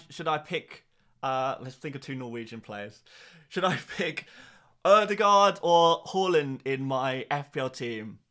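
Young male streamer, animated and slightly higher-pitched, loose chatty delivery with lots of restarts. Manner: playful, rambling, lots of restarts and laughs. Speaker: livestream host. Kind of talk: casual chat reading live comments.

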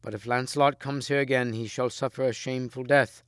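A man's voice: medium pitch, steady tone, a little fast, a bit bright, clean tone, no echo, clean studio sound, pitched above the performer's own voice, lightly acted. A man voicing a noble, stern and grieving king in a grave, declamatory manner for a story.

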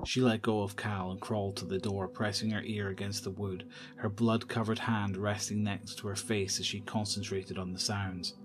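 A man narrating a horror audio drama in a measured, descriptive storytelling manner. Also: fairly low pitch, flat delivery, over loud music.

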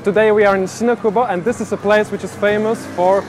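Young male travel vlogger, high voice, arm's-length camera, music bed behind. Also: steady tone, quick pace. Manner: upbeat, speaks straight to the camera. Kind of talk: casual travel vlog. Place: busy city street at night and a restaurant. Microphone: handheld camera at arm's length.

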